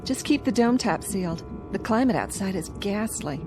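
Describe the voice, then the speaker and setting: A woman's voice: medium pitch, animated, normal speed, music in the background, natural voice. A woman acting in a radio drama as a fussy, cautious wife with a prim, reluctant manner.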